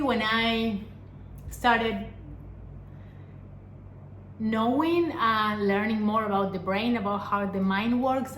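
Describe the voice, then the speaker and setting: Woman from Argentina, young adult, reflective vlog talk, unhurried with pauses, rhetorical questions, echoey room. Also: medium pitch, a bit bright, a little hiss or hum. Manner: earnest, pausing and restarting, asks rhetorical questions. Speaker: vlogger. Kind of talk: reflective personal talk to camera. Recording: echoey room with faint ambience.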